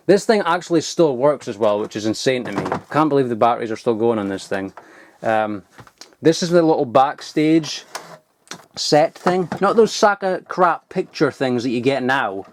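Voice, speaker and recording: Enthusiastic young man, noisy amateur recording. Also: mid-range voice. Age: young adult. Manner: sweary, informal, enthusiastic. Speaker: vlogger showing old toys. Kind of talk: casual handheld show-and-tell. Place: loft at home, indoors.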